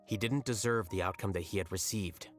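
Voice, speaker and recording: A male actor voices a detached young scholar in a fantasy video game. His voice is on the low side, delivered in a measured, articulate deadpan. He sounds blunt and coolly rational, with music in the background. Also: close to an everyday voice. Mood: calm, detached.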